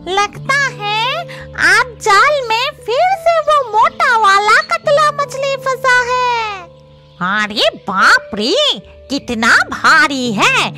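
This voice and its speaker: A woman's voice: very high-pitched, big pitch swings, extremely slow, exaggerated voice. A woman voicing a grumbling, greedy old fish witch in a cartoon, theatrically muttering to herself, with music behind her. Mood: gloating. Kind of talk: cartoon voice acting.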